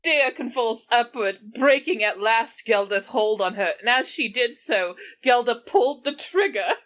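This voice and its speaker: A woman's voice: on the high side, animated, measured pace, fairly bright tone. A woman reading comic fan fiction aloud in a dramatic storytelling style, prone to giggling as she goes. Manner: breaks into giggles while reading. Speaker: reader of fan fiction on a comedy podcast. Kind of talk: dramatic read-aloud of comic erotic prose.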